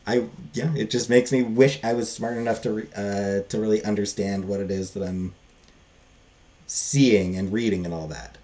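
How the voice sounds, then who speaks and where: A man giving a casual, unscripted book review in a halting, rambling, self-deprecating way. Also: fairly low pitch, steady tone, warm tone.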